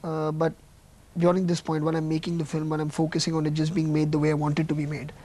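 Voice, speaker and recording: A man in his late twenties answering a TV interview in a soft-spoken, measured way, often starting with "um". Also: on the high side, completely flat delivery, slight background noise. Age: 20s. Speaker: interviewee, film director.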